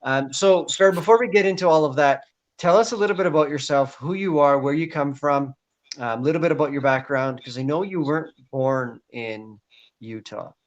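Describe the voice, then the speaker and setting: A man's voice: a little high, brisk, muffled recording. A man hosting an interview show as a coach, enthusiastic and loose, with many fillers. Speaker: host, leadership coach.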